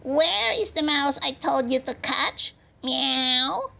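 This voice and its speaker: A woman's voice: sits high, expressive, slow, vocal fry, bright, forceful tone, pitched above the performer's own voice, full character voice. A woman voicing a cross, cruel old mother cat in a storybook, bossy, growling and meowing.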